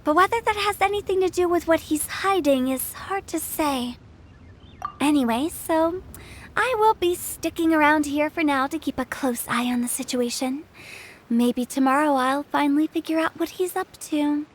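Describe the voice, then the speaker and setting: A woman's voice: high-pitched, normal speed, slight background noise, close to an everyday voice. An actress voicing a shrewd, mischievous young woman who runs a funeral parlor, telling things in a conspiratorial tone. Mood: scheming. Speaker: funeral director.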